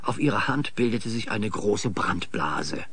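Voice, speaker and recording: An actor narrating a children's radio play in a measured, scripted storytelling style. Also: male voice, on the low side, expressive, fairly bright tone, slightly rough, full character voice.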